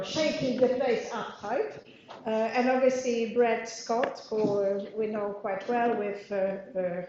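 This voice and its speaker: A woman's voice: medium pitch, mellow, a little hiss or hum. A woman in her fifties with a Polish accent, chairing and introducing a panel debate in a chatty way with many fillers. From Poland. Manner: chatty, frequent fillers and laughs. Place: parliament meeting room. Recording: very echoey room, dull audio.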